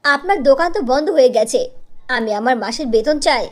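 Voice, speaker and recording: A woman voicing a scheming young salon assistant in a cartoon, insistent and sharp-tongued. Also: high-pitched, lively intonation, fairly quick. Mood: demanding.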